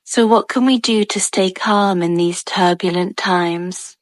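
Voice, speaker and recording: A woman leading a guided meditation with soothing, calm narration in short, measured phrases and gentle pauses. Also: on the low side, no room sound.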